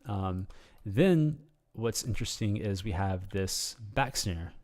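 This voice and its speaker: A man with a slightly hoarse voice giving an unscripted, rambling game tutorial, full of ums and restarts in a relaxed, casual way. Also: fairly low pitch, slow pace. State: slightly hoarse, losing his voice. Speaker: game guide video creator.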